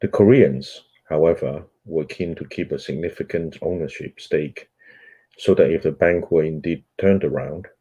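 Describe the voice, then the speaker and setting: A man whose first language is Cantonese, hosting a book club and reading a nonfiction book aloud in a measured way, pausing on fillers between phrases. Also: very deep voice, warm tone, slightly rough, highs cut off.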